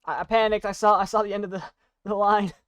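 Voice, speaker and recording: A young adult chatting casually while playing and commentating on a video game, rambling in a halting, self-deprecating way. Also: medium pitch, steady tone, a bit bright, smooth, no echo. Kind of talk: casual gaming commentary and chat.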